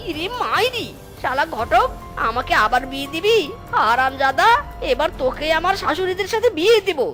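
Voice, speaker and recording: A performer voicing an excitable, cheeky young male cartoon animal son-in-law in exaggerated, exclaiming dialogue. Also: sits high, normal speed, soft background music, exaggerated voice. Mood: furious, ranting.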